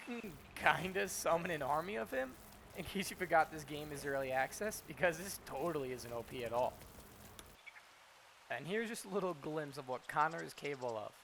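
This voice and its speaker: A man's voice: on the high side, vocal fry, noisy background. A man hosting a video game guide, giving informal, wry walkthrough commentary.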